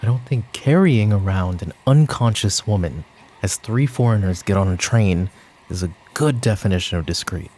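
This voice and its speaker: A man playing a weary, exasperated mafia boss in an ASMR audio drama, talking in a dry, halting way. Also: fairly low pitch, animated, darkish tone. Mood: agitated, terse. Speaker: voice actor in audio roleplay.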